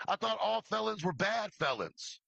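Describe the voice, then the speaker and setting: Sharp, gravelly man on a confrontational rant. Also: sits high, expressive, moderate pace, no room sound, lo-fi sound. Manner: confrontational, scolding, emphatic; swears freely. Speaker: true crime livestream creator. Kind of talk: impassioned rant addressed to an absent person.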